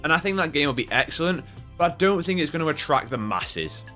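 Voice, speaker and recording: Bright-voiced man giving chatty game commentary. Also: fairly high pitch, lively intonation, quick pace. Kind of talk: casual opinion commentary to camera or mic. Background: background music.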